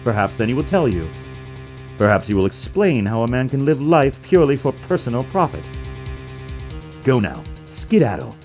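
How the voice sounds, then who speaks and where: A man's voice: mid-range voice, sing-song, moderate pace, a little mellow, soft background music. An actor playing a pompous, menacing corporate boss in a video game, sneering and theatrical as he talks down to people. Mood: smug, menacing. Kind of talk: dramatic video game character acting.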